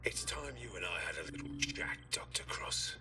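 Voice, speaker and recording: An actor playing a ruthless, hard-bitten renegade dealer in a video game, speaking in curt, threatening commands. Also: male voice, medium pitch, huge pitch swings, normal speed, in a whisper. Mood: menacing. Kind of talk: video game cutscene acting.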